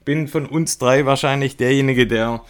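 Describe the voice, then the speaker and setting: A man's voice: medium pitch, steady tone, moderate pace. A man of forty co-hosting a podcast, chatting casually in a tongue-in-cheek way. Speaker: podcast co-host and hobby runner.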